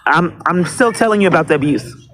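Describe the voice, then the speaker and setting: A woman's voice: lowish voice, animated, a little fast, a bit bright, rough recording. A woman calling police for help, speaking insistently and repetitively and tending to talk over the other person. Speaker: caller to police dispatch. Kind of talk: phone call pleading for help.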